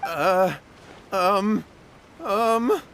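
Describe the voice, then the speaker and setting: Nervous, high-voiced cowardly young villager. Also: male voice, extremely slow, vocal fry, a bit bright, a little hiss or hum, full character voice. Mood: nervous, evasive. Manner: flustered, pleading, stammering. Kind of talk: video game voice acting.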